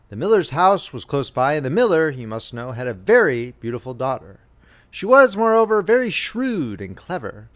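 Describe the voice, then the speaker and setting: A man reads as an audiobook narrator in a fairly high male voice. His scripted storytelling is measured and expressive, with very lively swings in pitch.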